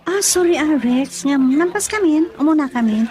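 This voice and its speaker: A woman's voice: high-pitched, lively intonation, moderate pace, a little mellow, faint music behind. An actress playing an outspoken, easily irked young working woman in an Ilocano radio drama. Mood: brisk, apologetic. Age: young adult.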